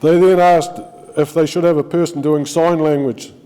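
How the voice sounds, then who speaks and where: A middle-aged man with a New Zealand accent tells rambling, good-humoured stories at a funeral, pausing on ums and restarting his sentences. His voice is warm and dark. The sound carries a long reverb from a large hall. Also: on the high side, fairly even intonation, slight background noise. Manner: chatty storytelling with frequent ums and restarts. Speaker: friend giving a eulogy. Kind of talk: informal eulogy speech.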